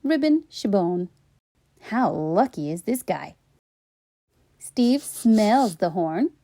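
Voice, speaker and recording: A woman reading a storybook aloud for children in an expressive way, with playful asides. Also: medium pitch, takes it slowly, no room sound, clean studio sound. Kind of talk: animated storybook read-aloud.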